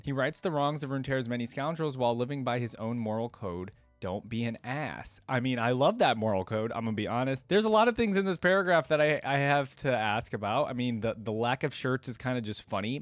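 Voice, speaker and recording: A man's voice: medium pitch, animated, fairly quick, few pauses, no echo. Man hosting a casual reaction, dry close sound. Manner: casual, addresses viewers directly. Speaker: host of a game lore reaction channel. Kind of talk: reaction commentary with read-aloud story passages.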